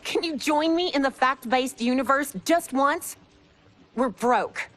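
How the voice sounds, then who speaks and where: Exasperated, high-voiced blunt wife. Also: female voice, a little slow, a bit bright. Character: exasperated, blunt wife of a fading movie star. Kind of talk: dramatic game cutscene acting.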